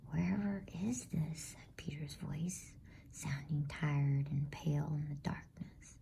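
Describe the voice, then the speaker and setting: A woman reading a bedtime story in a hushed, breathy ASMR whisper. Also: low-pitched, slow pace. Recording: faint background noise.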